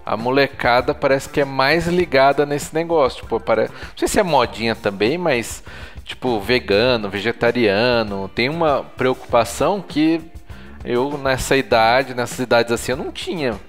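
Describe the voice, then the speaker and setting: A man in his forties co-hosting a casual podcast chat, relaxed and joking. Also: mid-range voice, normal speed, soft background music. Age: 40s. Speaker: podcast co-host.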